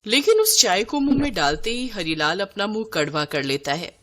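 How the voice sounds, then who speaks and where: A woman's voice: mid-range voice, big pitch swings, normal speed, a bit bright. A woman narrator reading a scripted story.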